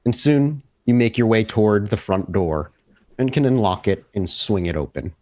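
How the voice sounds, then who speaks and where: A man's voice: fairly low pitch, lively intonation, moderate pace, darkish tone. A man improvising narration as the game master of a tabletop roleplaying game, descriptive and accommodating with players.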